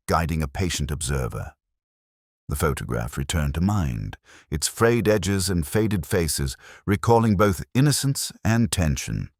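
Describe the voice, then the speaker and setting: A man reading a scripted first-person detective story in a measured, formal, reflective manner. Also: extremely low pitch, no room sound, clean studio sound. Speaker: audiobook-style story narrator.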